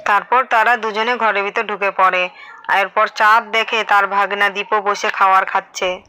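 A woman's voice: medium pitch, fairly even intonation, moderate pace, pushed and bright, smooth, no room sound, poor audio. A woman narrator reading a scripted cartoon story.

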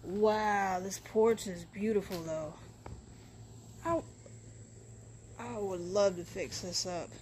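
A woman's voice: mid-range voice, slow pace, pauses often, a little mellow, a little hiss or hum. A woman walking through an abandoned house with a handheld camera, talking casually and swearing as she looks around. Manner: chatty, swears freely, thinks aloud. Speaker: urban explorer vlogger. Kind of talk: casual walkthrough narration. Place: abandoned wooden house, indoors and on porch.

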